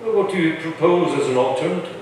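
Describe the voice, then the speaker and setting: A man's voice: fairly high pitch, quick pace, a little mellow, slight background noise, close to an everyday voice. A man playing an earnest colonial landholder in a staged musical reading, speaking and singing in formal, old-fashioned phrases. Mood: resigned, questioning. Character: earnest, doubtful male colonial landholder, easily won over. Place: live staged reading in a large echoey room. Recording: echoey hall from far off, music.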